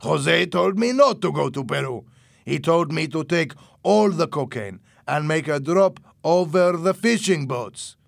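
A man voicing a ruthless, bossy smuggler in an audiobook story, with a loud, pushy delivery. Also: a little high, expressive, a little slow, creaky, dry recording, studio quality, voice pushed up, heavily acted. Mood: lying, defensive. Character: ruthless, lying male drug smuggler, bossy and forceful. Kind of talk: audiobook character acting.

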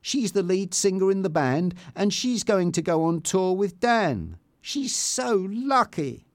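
A man's voice: high voice, lively intonation, measured pace, darkish tone, no echo, clean studio sound, pitched above the performer's own voice. A man voicing a guarded, jealous young woman with an earnest, defensive manner. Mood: jealous, angry.

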